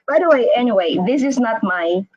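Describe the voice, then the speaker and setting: A woman chatting casually and excitedly as the host of a live online interview, over a video call. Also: fairly high pitch, fairly quick, lo-fi sound. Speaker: host interviewing a guest on a livestream.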